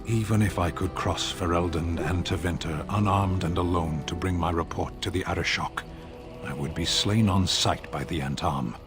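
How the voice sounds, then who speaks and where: An actor playing a stoic, grim giant warrior in a video game, speaking in a terse, solemn, measured way. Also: male voice, low-pitched, close to an everyday voice. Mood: grave, bitter. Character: stoic, grim giant warrior, disgraced soldier far from home. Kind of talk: video game character acting. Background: music.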